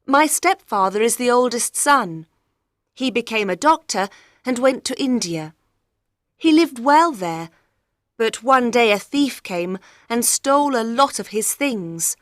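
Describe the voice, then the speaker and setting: A woman acts a troubled young gentlewoman in a storybook mystery. Her voice is fairly high, earnest and carefully enunciated. The sound is close and dry. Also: lively intonation, a little slow, no echo. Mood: troubled, explaining. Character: frightened, desperate young gentlewoman of about thirty. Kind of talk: dramatised audiobook reading.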